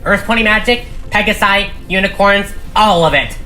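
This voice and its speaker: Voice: mid-range voice, normal speed, bright, forceful tone, a little hiss or hum, voice pushed up, close to an everyday voice, rough recording. A narrator voicing a brave young female pony leader who rallies others with commanding urgency in a cartoon audio drama. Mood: firm, rousing. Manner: commanding, rallying.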